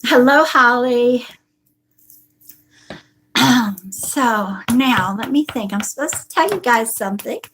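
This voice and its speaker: Woman psychic hosting a live stream, high voice, slow delivery, congested from a cold. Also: expressive, slightly rough. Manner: slow, warm greetings, calls viewers honey and sweetheart. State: stuffy with a cold. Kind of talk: live stream greeting viewers. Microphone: device on a table.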